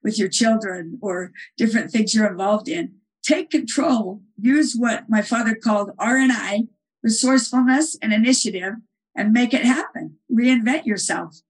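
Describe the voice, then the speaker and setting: A woman's voice: on the high side, moderate pace, husky, low-quality recording. A woman author as a guest, earnestly explaining her ideas and retelling stories in an interview. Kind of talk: conversational interview answer.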